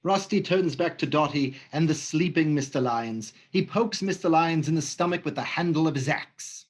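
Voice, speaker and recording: A man reading the stage directions in a livestream script reading, steady and matter-of-fact. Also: fairly high pitch, normal speed.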